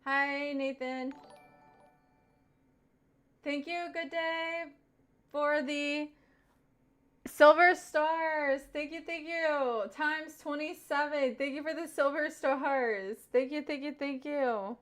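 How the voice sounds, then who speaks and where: A young woman with a light Southern US accent talks casually on her live stream. Her voice sits a little high, and she keeps thanking viewers as she reads their comments aloud. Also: steady tone, slow pace, long pauses, a bit bright. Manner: chatty, thanks viewers over and over.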